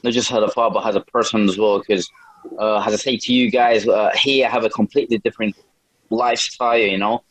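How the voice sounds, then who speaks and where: A young man from a small town in Brazil with a Brazilian accent, answering interview questions casually in halting non-native English, often repeating words. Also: on the low side, fairly even intonation, a bit bright, no echo, rough recording. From Brazil, small town in the north. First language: Portuguese. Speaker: MMA fighter, podcast guest.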